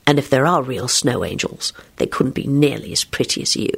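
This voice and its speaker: A woman narrator puts on a young man in love for a storybook. He is earnest and wry, with a soft, low voice and an understated way of talking. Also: lively intonation, brisk, creaky, husky, heavily acted. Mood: earnest, tender. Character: earnest, wry, smitten young man, playfully self-pitying.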